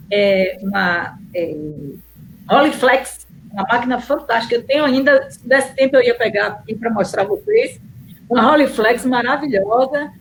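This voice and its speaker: A middle-aged woman, a guest on an interview who talks warmly about her past with many small pauses. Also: fairly high pitch, slight background noise, low-quality recording. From Bahia, Brazil. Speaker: guest, nurse and city councillor. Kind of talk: casual interview chat.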